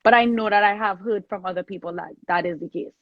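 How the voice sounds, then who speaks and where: A woman's voice: mid-range voice, fast talker, no room sound, lo-fi sound. A woman from Trinidad, chatting casually and gratefully as a singer being interviewed. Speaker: soca singer, interview guest.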